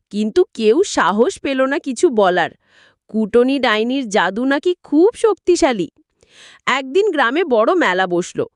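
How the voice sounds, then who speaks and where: A woman reads a children's tale as narrator. Her voice is high and moves through wide, animated pitch swings. The sound is very dry, with no room around it. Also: dry recording. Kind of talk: scripted children's story narration.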